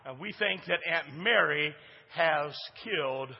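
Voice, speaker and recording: A man preaching a sermon as pastor in a church, with a big booming voice that swings from hushed asides to shouting and calls out to the congregation for responses. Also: unhurried, gravelly, slightly rough, long reverb tail, muffled recording. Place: church sanctuary.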